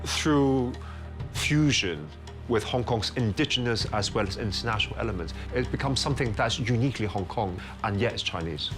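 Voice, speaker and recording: A man giving a measured, explanatory documentary interview about history. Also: fairly low pitch, lively intonation, over music. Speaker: commentator on history.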